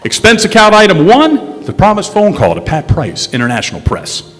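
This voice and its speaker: A man playing a wry, smooth-talking insurance investigator who narrates his case in the style of a 1950s radio detective drama. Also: high-pitched, huge pitch swings, normal speed, a bit bright, heavily acted. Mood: wry, confiding. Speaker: stage radio actor. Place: stage in a historic courthouse hall. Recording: echoey hall, music underneath.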